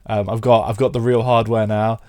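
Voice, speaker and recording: A man's voice: on the low side, flat delivery, a little fast, dark tone, smooth, dry recording, clean studio sound. A man with a stuffy head cold, chatting casually to live viewers while playing an old racing game. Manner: rambling, chatty, talks to chat viewers. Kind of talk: casual live-stream commentary.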